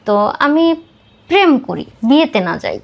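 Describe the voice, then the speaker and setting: High-voiced Bangladeshi woman telling her story. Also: very animated, measured pace, gravelly, dull audio. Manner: mixes English words into her talk. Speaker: podcast guest. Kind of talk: personal life story told in an interview.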